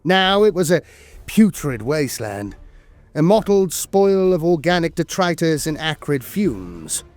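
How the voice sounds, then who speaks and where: A man's voice: fairly high pitch, big pitch swings, a little slow, dry recording. A man delivering dramatic scripted lore narration in a solemn, grandiose manner.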